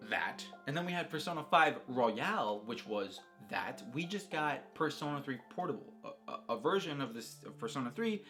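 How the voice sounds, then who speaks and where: A man giving casual, informal commentary on a video game as a reviewer, animated and given to rambling asides. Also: mid-range voice, normal speed, few pauses, faint music behind.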